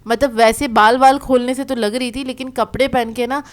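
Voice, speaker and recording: A woman's voice: a little high, steady tone, normal speed. A woman with a sore throat chatting in a casual, explanatory way as she narrates a vlog about her day. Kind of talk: casual conversational vlog voice-over.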